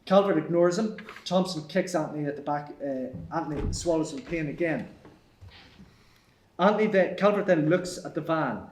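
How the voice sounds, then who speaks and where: A man's voice: fairly high pitch, moderate pace, slight background noise. A man reading out screenplay stage directions as the narrator at a table read. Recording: echoey room.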